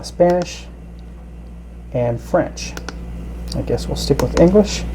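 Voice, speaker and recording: Guy doing a laid-back sounding gadget review, dark soft tone, kinda halting, bit of noise behind him. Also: medium pitch, rough recording. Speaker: product reviewer. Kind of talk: casual gadget review demonstration.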